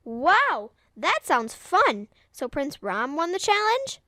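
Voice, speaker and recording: A woman voicing an eager, excitable young boy in a children's cartoon, with a high, childlike voice. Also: takes it slowly, no echo, studio quality, full character voice. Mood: excited, curious. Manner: excited, exclaiming. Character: eager wide-eyed young boy listening to grandpa.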